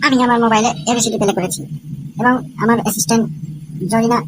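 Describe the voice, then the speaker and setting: A woman's voice: fairly high pitch, flat delivery, fast, very noisy, natural voice. Woman voicing a cheeky cartoon brat, Boltu. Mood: mocking. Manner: sassy, back-talking, mocking. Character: cheeky cartoon schoolboy Boltu, rude and mouthy. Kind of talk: comic cartoon voice acting. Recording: music playing behind.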